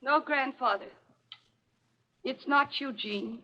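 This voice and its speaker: A woman's voice: sits high, slow. An actress playing a distraught young woman who believes she is cursed, in melodramatic 1940s radio mystery style. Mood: haunted, numb. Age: young adult. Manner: melodramatic, anguished. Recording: muffled vintage radio recording.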